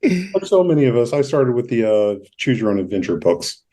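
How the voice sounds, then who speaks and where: A man's voice: mid-range voice, very animated, a little fast, warm tone. A man hosting a podcast, introducing and interviewing a guest, stumbling a little over his words as he reads. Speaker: podcast host.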